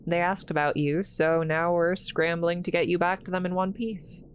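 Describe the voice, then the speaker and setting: A woman playing an awkward, kind scientist in a roleplay audio drama, halting and apologetic, stammering as she talks to the listener. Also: fairly low pitch, steady tone, slight background noise, close to an everyday voice. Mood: rushed, businesslike.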